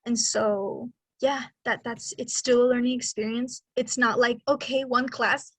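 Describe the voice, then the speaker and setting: A woman's voice: on the high side, steady tone, unhurried, a bit bright, breathy edge, dry recording, rough recording. A woman in her twenties co-hosting a podcast, talking in a reflective, rambling way with many fillers. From Mexican American. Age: 20s. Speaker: podcast co-host. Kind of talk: casual conversation.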